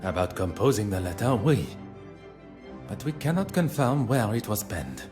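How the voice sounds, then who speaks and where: A man voicing a courteous, inquisitive detective with a French accent in a video game, formal and measured. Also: on the low side, big pitch swings, roomy sound. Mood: grave, factual. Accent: French-speaking. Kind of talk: scripted video game dialogue acting. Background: music.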